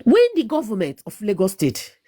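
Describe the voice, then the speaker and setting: A woman's voice: medium pitch, very animated, normal speed, warm tone, breathy edge. A Nigerian woman arguing insistently in a heated debate, repeating herself and talking over interruptions.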